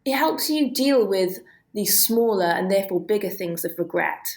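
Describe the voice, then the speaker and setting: A woman being interviewed, explaining ideas reflectively and haltingly as she thinks aloud. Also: medium pitch, animated, a little mellow. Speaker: interview guest, author.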